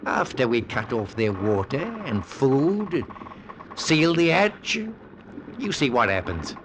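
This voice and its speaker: A sly ship's mate. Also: male voice, medium pitch, very animated, takes it slowly. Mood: scheming. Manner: sly, drawling, conspiratorial. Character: crafty working-class male ship's mate, sly and scheming. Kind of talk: 1940s radio acting. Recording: old radio broadcast with background hiss.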